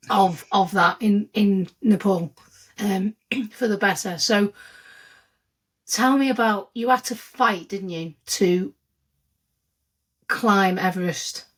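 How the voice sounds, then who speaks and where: A woman's voice: medium pitch, slow pace, pauses often. A woman hosting a podcast interview, asking chatty leading questions with tag questions. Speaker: podcast host.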